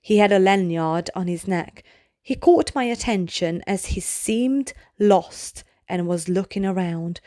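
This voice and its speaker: A woman reading a children's storybook aloud in a measured way, pausing phrase by phrase. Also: medium pitch, warm tone, no echo, clean studio sound. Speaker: read-aloud narrator of a children's book. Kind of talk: slow read-aloud of short storybook pieces.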